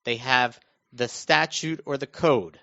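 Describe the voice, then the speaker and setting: A middle-aged American man telling stories casually on a talk show, halting and restarting his phrases as he goes. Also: mid-range voice, vocal fry, sharp tone. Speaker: radio show panelist recounting his own news. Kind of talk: casual conversational storytelling. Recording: dull, lo-fi muffled sound.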